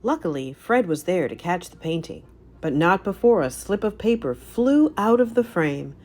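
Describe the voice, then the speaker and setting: A woman narrating a children's storybook aloud in an animated, scripted read-aloud style. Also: fairly low pitch, normal speed, faint music behind.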